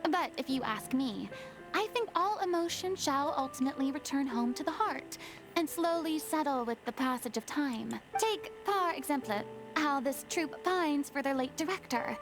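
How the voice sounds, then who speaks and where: An actress playing a proud, theatrical young former stage star in a video game, speaking in eloquent, dramatic monologues. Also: female voice, sits high, lively intonation, normal speed, music in the background, poor audio. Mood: reflective.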